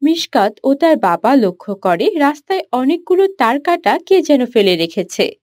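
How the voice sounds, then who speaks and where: A woman's voice: high voice, very animated, moderate pace, a little mellow, dry recording, studio quality. A woman reading scripted narration for a children's cartoon story.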